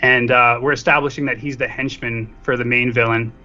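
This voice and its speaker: A man's voice: mid-range voice, fairly even intonation, fairly quick, pushed and bright. A man in a casual film discussion, talking in a joking, self-deprecating way. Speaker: podcast guest co-reviewer. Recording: dull lo-fi audio, faint music.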